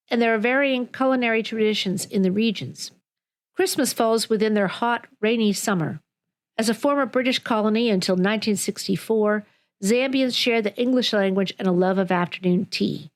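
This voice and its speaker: Polished woman podcast host, soft music. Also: medium pitch. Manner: polished, read-aloud delivery. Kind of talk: scripted narration and interview questions.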